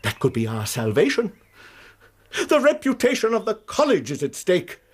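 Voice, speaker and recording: An actor playing an anxious, proud English college lecturer in a British radio drama, with an agitated, formal, donnish manner. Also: male voice, high-pitched, huge pitch swings, moderate pace, husky, heavily acted. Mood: brooding, grave.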